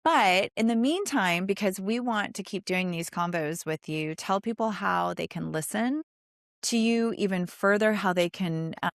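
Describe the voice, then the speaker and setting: A woman's voice: medium pitch, normal speed, no echo, clean studio sound. A woman hosting a faith-based interview chat in an effusive, encouraging way, repeating phrases for emphasis. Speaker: podcast host and producer.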